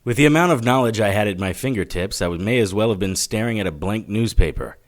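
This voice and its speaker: An actor playing a wry, world-weary 1940s detective, narrating and talking in matter-of-fact noir style. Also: male voice, lowish voice, animated, quick pace, lightly acted. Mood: wry narration. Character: wry, hard-boiled male 1940s occult detective. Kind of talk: noir first-person narration and dialogue.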